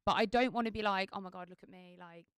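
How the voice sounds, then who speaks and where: Woman, rapid chatty delivery, smooth clear voice, dry close sound. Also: mid-range voice, fairly bright tone, no room sound. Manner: chatty, chaotic storyteller with many fillers. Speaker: podcast guest, wedding singer. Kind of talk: casual chat.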